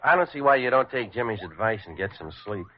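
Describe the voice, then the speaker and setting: An actor playing a patient, reasonable radio operator in an old radio drama. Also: male voice, lowish voice, animated, fast, a loud crowd, close to an everyday voice. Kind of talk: 1940s radio drama acting. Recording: thin, phone-like old radio recording.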